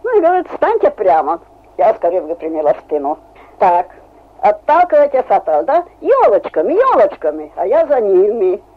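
Lively Hungarian-accented woman in her seventies. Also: medium pitch. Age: 70s. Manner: lively storytelling, halting speech, laughs often. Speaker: interviewee, amateur skater. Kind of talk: radio interview. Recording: tinny phone-like old radio recording.

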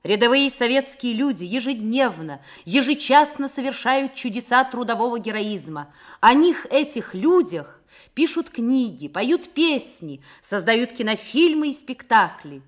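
A woman's voice: a little high, very animated, unhurried. A woman narrating a documentary in a solemn, formal scripted style.

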